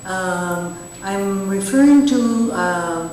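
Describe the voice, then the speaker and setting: A woman in the audience asking a question, halting with many pauses and fillers. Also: medium pitch, a little mellow, slight background noise. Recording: distant echoey hall mic.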